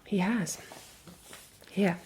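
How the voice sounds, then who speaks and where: An actress with an English accent playing a resolute, protective Victorian gentlewoman in a radio drama, speaking earnestly and formally. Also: mid-range voice, very slow, slightly rough, heavily acted, poor audio. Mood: earnest, conspiratorial. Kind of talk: radio drama acting with first-person narration.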